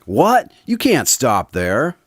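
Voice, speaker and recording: A man's voice: medium pitch, wildly swooping pitch, slow pace, husky, dry recording, heavily acted. A man playing an eager, genial radio host in a scripted drama with credits. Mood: eager, exasperated. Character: eager, genial male radio host hearing Watson's tales. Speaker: announcer and listener host.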